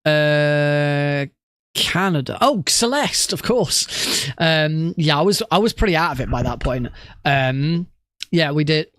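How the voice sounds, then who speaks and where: A man's voice: a little high, lively intonation, slow pace, fairly bright tone, no room sound, studio quality. A man with a cough and a congested nose, chatting casually to stream viewers in a rambling way with many restarts. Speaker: streamer and marathon organiser.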